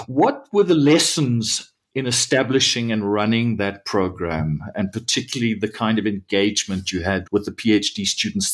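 Low-voiced man asking measured questions. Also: breathy edge. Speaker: interviewer, academic. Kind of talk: conversational interview questions.

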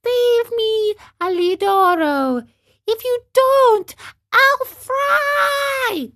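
A woman voicing a small, frightened wooden puppet boy in a storybook reading, with a high, pleading voice. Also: sing-song, a little slow, fairly bright tone, exaggerated voice. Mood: terrified pleading.

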